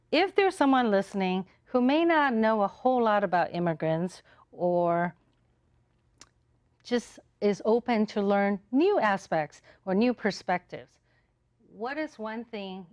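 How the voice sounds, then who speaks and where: A woman's voice: mid-range voice, no room sound. A woman hosting a talk show, encouraging her guests and affirming what they say. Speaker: host.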